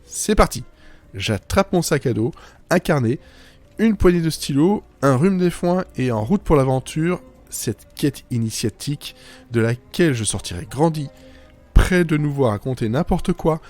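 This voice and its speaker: A man's voice: on the high side, expressive, moderate pace, darkish tone. A man delivering a scripted first-person monologue as a writer-narrator, whimsical and self-mocking, with playful asides. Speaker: writer narrating own fiction podcast. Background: music.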